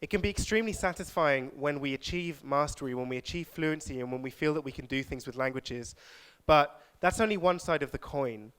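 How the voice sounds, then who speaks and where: A man speaking at a conference, hosting audience questions and then giving measured, reflective closing remarks. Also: a little high, a bit bright, clean tone. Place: lecture hall.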